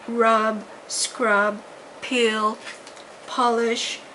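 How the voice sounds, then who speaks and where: An older woman narrating a children's bedtime story with deliberate, dramatic emphasis. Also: medium pitch, fairly bright tone, poor audio. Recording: faint background noise.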